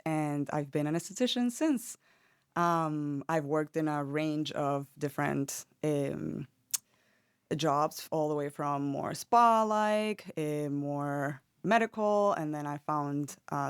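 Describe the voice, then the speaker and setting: A woman from Venezuela, a guest esthetician, telling her career story in a measured, plain way. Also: sits low, smooth, no room sound, clean studio sound. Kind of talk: casual chat.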